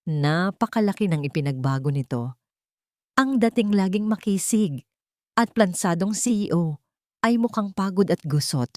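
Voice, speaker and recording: A woman's voice: medium pitch, animated, normal speed, darkish tone, no room sound, studio quality. A woman with a Filipino accent narrating a dramatic scripted love story.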